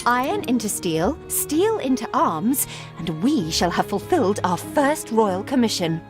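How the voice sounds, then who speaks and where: Loyal young noblewoman, female, highish lively voice, emphatic, video game drama. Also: unhurried, music in the background. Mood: determined. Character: spirited young aristocratic daughter, loyal and principled.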